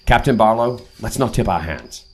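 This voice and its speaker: An actor playing a self-assured, punctual leader of secret agents in an audio drama, formal and commanding. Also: male voice, lowish voice, lively intonation, normal speed, husky.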